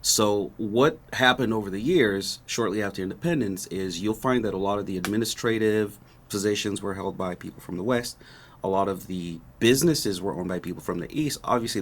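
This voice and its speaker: A middle-aged man from Nigeria, a native Yoruba speaker, explaining history calmly in an interview and often checking in with "right?". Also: on the low side. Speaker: guest, rapper. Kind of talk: relaxed explanatory interview talk.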